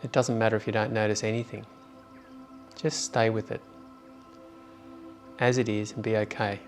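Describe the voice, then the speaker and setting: A man leading a guided meditation in an informal, unhurried way with long pauses. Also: on the low side, flat delivery, faint music behind.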